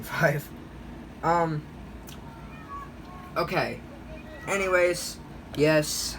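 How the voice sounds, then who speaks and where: Male hobbyist vlogger, mid-pitched, halting off-the-cuff show-and-tell, clear background noise. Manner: rambling, halting, often self-correcting. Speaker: hobbyist vlogger showing a radio collection. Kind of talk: casual unscripted show-and-tell.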